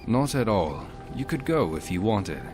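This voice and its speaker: An actor voicing a calm, terse warden hero in a video game. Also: male voice, lowish voice, a little slow. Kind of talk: video game dialogue acting. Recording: game music behind.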